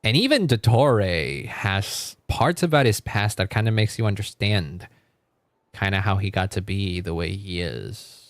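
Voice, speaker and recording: A man's voice: lowish voice, lively intonation, measured pace, dry recording. A man doing casual live commentary on a game as a streamer, chatty and reacting aloud with exclamations and repeated words. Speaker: livestreamer.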